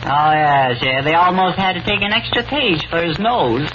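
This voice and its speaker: A man voicing a cheeky, wisecracking boy ventriloquist dummy in 1930s radio comedy, trading quick insults. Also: a little high, close to an everyday voice. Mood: cocky. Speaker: comic sidekick. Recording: dull 1930s radio with noisy crowd.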